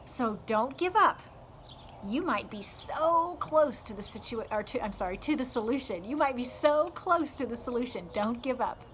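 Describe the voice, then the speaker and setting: Female storyteller, slightly high register, expressive and deliberate read-aloud host; homemade recording with light background noise. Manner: dramatic, deliberate storytelling with emphatic delivery. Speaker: read-aloud host for a youth scouting program. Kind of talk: expressive audiobook-style read-aloud narration.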